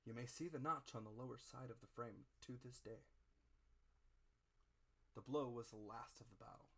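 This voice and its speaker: Male narrator, medium pitch. Dramatic audiobook read, theatrical, phrase-by-phrase delivery. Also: normal speed.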